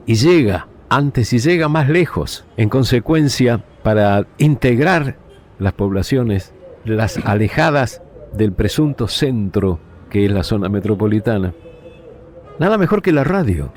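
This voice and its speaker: A man talking reflectively in deliberate, formal phrases. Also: fairly low pitch, animated, darkish tone. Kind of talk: reflective interview talk. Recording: faint background noise.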